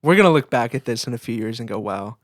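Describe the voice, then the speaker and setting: A man's voice: mid-range voice, lively intonation, racing, no room sound, studio quality. A young man in casual conversation as a podcast co-host, talking in a loose, informal way.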